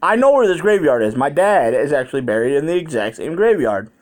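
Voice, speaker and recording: A man's voice: fairly high pitch, big pitch swings, a little fast. A man vlogging in a candid, colloquial way, rambling through personal stories as he talks straight to his viewers. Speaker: YouTube vlogger. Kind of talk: casual personal storytelling to viewers.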